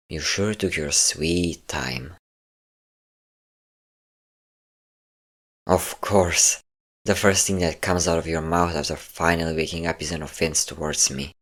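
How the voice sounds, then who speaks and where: A man performs a possessive vampire lord with a deep male voice. He is mocking and smug, lingering on pet names as he teases. The delivery is intimate, in the manner of an audio roleplay about an obsessive lover. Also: fairly bright tone. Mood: mocking, amused. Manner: smug, teasing, drawn-out endearments.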